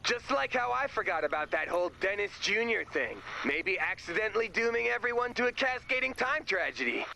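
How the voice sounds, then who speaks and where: Nervous odd sidekick, high creaky voice, earnest, hesitant, game dialogue. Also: male voice, big pitch swings, fairly quick, pushed and bright, a little hiss or hum, heavily acted, poor audio. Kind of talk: video game character voice acting.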